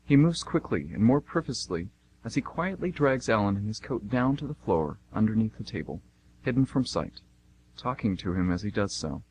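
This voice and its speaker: A man's voice: lowish voice, animated, moderate pace, a little mellow, a little hiss or hum, low-quality recording. A man reading scripted narration for an amateur radio play.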